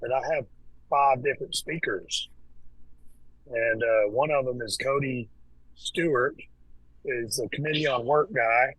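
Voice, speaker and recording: Man, podcast guest, casual and halting, average pitch, dry close sound. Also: husky, no echo, poor audio. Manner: relaxed, hesitant, with many fillers and restarts. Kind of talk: casual conversation.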